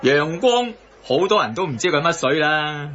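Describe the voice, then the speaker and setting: An actor playing a chatty, grumbling Hong Kong Cantonese taxi driver in a satirical radio drama. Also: male voice, a little high, sing-song, normal speed, sharp tone. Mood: matter-of-fact. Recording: dull, band-limited broadcast audio.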